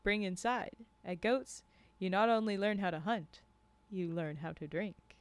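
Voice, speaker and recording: A woman's voice: mid-range voice, unhurried, no room sound, lightly acted. Storybook lodge keeper, male, read by a woman: mock-solemn, sly, clipped broken English, close dry sound. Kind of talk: storybook character reading.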